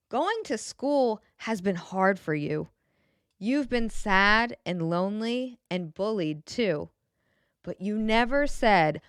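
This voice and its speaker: Slow, smooth, expressive woman reading a storybook. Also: medium pitch, no room sound, studio quality. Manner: gentle, expressive, clearly enunciated storybook delivery. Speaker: children's picture book narrator. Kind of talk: read-aloud storytelling with questions to the child listener.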